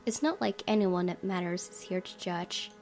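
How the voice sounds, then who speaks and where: A woman's voice: lowish voice, normal speed, gravelly, darkish tone. A woman hosting a gaming video, reading visual novel narration aloud. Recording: game music behind. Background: music.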